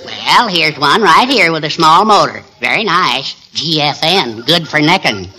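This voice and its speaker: Casting: cheeky boat-rental character, unhurried folksy teasing, very animated pitch, noisy old radio show. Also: female voice. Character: cheeky, nosy boat renter who talks in initials. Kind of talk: old-time radio sitcom acting. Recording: noisy vintage radio broadcast, loud audience.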